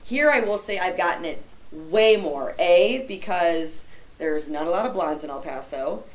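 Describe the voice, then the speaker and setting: A woman's voice: fairly low pitch, lively intonation, measured pace. A woman with a Chicago accent, a radio co-host and former news anchor, chatting casually and agreeing often. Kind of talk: casual radio talk show chat.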